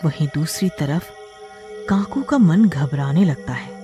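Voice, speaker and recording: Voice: mid-range voice, animated, unhurried, dark tone. A narrator reading a scripted horror story for a cartoon. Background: background music.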